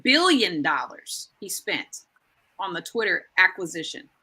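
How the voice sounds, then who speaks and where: A woman's voice: lowish voice, sing-song, measured pace, sharp tone, husky. A woman in her late forties co-hosting a casual talk show, speaking emphatically and repeating phrases to drive her points home. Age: 40s. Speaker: co-host. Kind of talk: casual chat.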